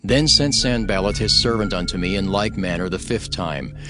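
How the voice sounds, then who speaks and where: A man playing a steadfast, devout leader who narrates his own story in a solemn, measured, declamatory scripture style. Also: sits low, lightly acted. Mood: solemn, resolute. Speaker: narrator and lead character. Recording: music behind the narration.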